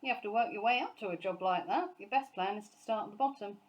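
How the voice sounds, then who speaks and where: A woman voicing a brisk, bossy male factory foreman in a children's storybook, giving colloquial instructions. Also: medium pitch, dull audio, lightly acted. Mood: brisk, warning.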